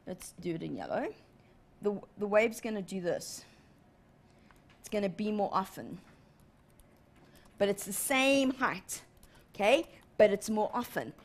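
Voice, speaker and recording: Woman, 30s, TV teacher, animated, checks in often, fairly high voice, studio lesson. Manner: animated, gestures a lot, checks in with "okay?". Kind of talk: live televised lesson. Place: TV studio in front of a large screen.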